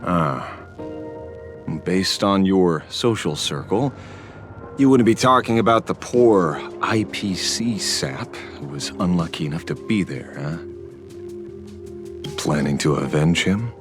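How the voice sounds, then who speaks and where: A wry, streetwise investigator who works alone in a game. His lowish voice moves with lively swings as he drawls sardonic asides. Light game music sits behind him. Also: slow pace, pauses often. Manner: sardonic, drawling, self-assured. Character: wry streetwise male investigator, lone-wolf justice seeker. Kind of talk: game dialogue voice acting. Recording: studio voice with faint game music.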